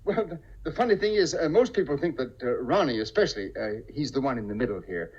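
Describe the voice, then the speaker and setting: A man's voice: mid-range voice, big pitch swings, fast, darkish tone, husky. An actor playing a timid, kindly middle-aged businessman, hesitant and stammering, in an old muffled radio comedy. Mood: pleased, proud. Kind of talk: 1950s radio comedy-drama acting.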